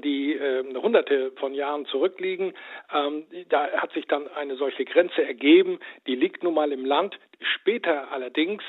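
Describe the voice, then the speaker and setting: A man who directs a language institute, explaining things on a radio interview by phone in a measured, lecturing way with frequent "ähm" fillers. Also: on the high side. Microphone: telephone. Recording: tinny phone line.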